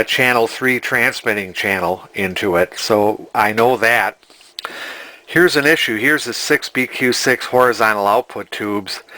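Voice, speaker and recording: A man in his sixties talking casually and haltingly as he hosts a hands-on restoration vlog from his workshop. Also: lowish voice, sharp tone. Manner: halting, hesitant, rambling. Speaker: vintage TV restorer, video host. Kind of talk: casual spoken workbench vlog. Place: cluttered indoor home workshop.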